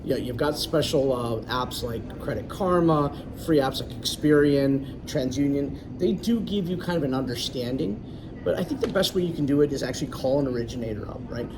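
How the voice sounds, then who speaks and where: A man explains things as a guest in a confident, chatty manner. His voice sits at a middle pitch. He is recorded from a distance, with clear background noise. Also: fairly quick. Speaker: loan originator, guest. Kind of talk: casual interview.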